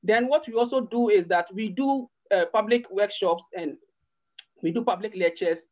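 A man's voice: high-pitched, moderate pace. A man in his thirties with a Ghanaian accent, speaking earnestly as an interview guest and repeating words as he searches for them. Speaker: guest, organisation founder. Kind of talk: video-call interview. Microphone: laptop webcam on video call. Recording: muffled video-call audio.

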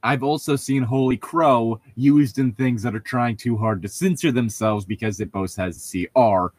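A man's voice: medium pitch, moderate pace, darkish tone, husky, dry recording. A man co-hosting a read-along show, chatting casually in an opinionated, rambling, sweary way. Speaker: co-host of a group read-along commentary show. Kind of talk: casual chat and mocking commentary.

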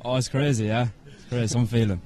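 A teenage man with an Irish accent, a school hurler speaking excitedly and casually into a handheld mic outdoors on a stadium pitch. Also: fast talker. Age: teens. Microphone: interviewer's handheld mic.

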